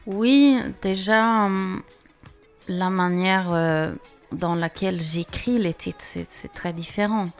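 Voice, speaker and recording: A woman from Sweden, a guest singer answering radio interview questions in a hesitant, halting way. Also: on the low side, clear, resonant tone, faint music behind. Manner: hesitant, halting, soft-spoken.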